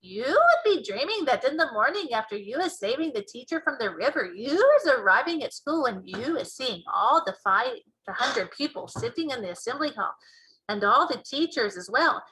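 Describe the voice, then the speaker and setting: A woman voicing a gentle, solemn old male giant who talks in quirky mangled grammar in a children's storybook. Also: sits high, very animated, moderate pace, heavily acted, poor audio. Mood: solemn, reassuring.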